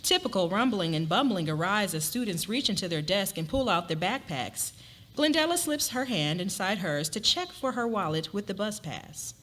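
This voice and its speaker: A woman's voice: mid-range voice, animated, normal speed, a bit bright. A woman narrating a scripted story as a dramatic reading.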